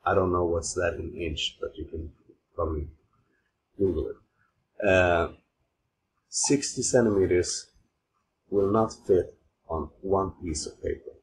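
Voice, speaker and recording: A man from Sweden with a Swedish accent, giving an informal software tutorial in simple, halting non-native phrasing. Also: sits low, low-quality recording. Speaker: tutorial host. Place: voice-over recorded at an old computer. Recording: steady clear background noise, mouse clicks.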